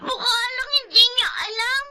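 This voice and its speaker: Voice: very high-pitched, fairly even intonation, normal speed. A performer playing a tearful, defiant little girl pleading in a Filipino radio drama, switching between English and Tagalog.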